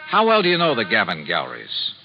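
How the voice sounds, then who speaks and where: Wry hardboiled 1950s radio detective. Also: male voice, fairly low pitch, huge pitch swings, fast, creaky, a little hiss or hum, full character voice. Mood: confiding, calculating. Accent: American. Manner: clipped, wry, world-weary. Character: shrewd hardboiled male insurance investigator, wry and guarded. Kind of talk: 1950s radio detective drama acting, first-person narration. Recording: dull vintage radio sound, faint music behind.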